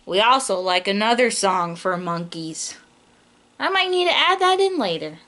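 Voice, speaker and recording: A woman's voice: mid-range voice, normal speed. A woman teacher reading a picture book aloud to young children, talking straight to them with simple questions and playful emphasis. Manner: animated, questioning, speaks directly to small children.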